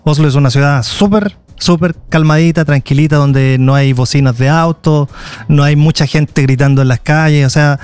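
Chilean man, tour guide guest, chatty and a bit high-pitched, lots of restarts. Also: steady tone, a little mellow, clear, resonant tone. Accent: Chilean Spanish. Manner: chatty, restarts often, draws out pauses. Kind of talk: casual conversation.

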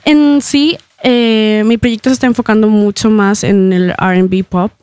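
Young woman, crisp clean voice, chatty singer being interviewed, giggly manner, casual, close dry studio sound. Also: medium pitch, steady tone, a little slow, a little mellow, dry recording. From born in Ecuador, grew up in Spain from age eight. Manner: chatty, giggles often while talking.

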